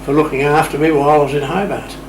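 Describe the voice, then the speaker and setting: A man's voice: fairly high pitch, steady tone, quick pace. A man telling anecdotes informally as an interview guest, recorded at a distance in an echoey room. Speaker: radio club interview guest. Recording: echoey room, distant mic, clear noise.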